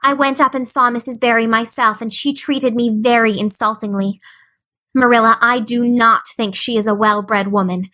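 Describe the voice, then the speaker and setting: A woman's voice: a little high, fairly even intonation, normal speed, sharp tone. A woman voicing a dramatic, eager orphan girl of about eleven who speaks in flowery, pleading words. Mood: despairing.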